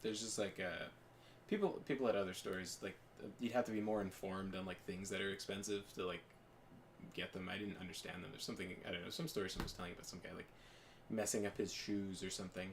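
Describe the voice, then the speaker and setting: A man's voice: sits low, fairly even intonation, quick pace, slight background noise. A young man chatting casually as a podcast co-host, rambling with lots of fillers and restarts. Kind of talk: casual chat while gaming.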